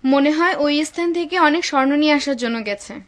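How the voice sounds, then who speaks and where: A woman's voice: sits high, a little fast, close to an everyday voice. A woman playing a devout, caring, cautious wife and mother in a cartoon. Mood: worried. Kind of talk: dubbed cartoon acting.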